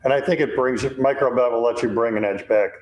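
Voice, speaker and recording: A deep-voiced man in his fifties with a Chicago accent, hosting a live woodworking question session. He reads viewer questions aloud in a careful, measured way. His voice bounces around a roomy shop space. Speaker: host. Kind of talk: live Q&A chat.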